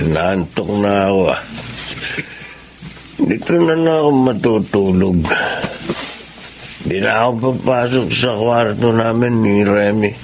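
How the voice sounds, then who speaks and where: A troubled, hard-drinking older brother in a radio drama. His voice sits low and crackles with gravel, over clearly audible background noise. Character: hard-drinking married older brother, hot-tempered, later remorseful. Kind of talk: Tagalog radio drama acting.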